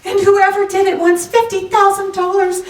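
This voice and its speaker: A woman's voice: very high voice, level tone, moderate pace. A woman playing a fearful, grave, distressed lady in a 1940s-style crime radio drama. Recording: echoey, distant microphone.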